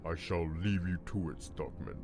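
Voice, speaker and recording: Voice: deep voice, animated, normal speed, gravelly, pitched below the performer's own voice. A narrator voicing a stern, menacing male ninja clan master, commanding and cross. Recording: loud music behind the voice.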